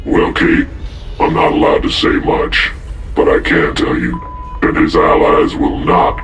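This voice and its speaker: Echoing, very deep ominous operative. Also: male voice, steady tone. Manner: slow, terse, menacing. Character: ominous, tight-lipped male covert military operative. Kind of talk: dramatic video game acting, news interview. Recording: echoey field broadcast, loud music.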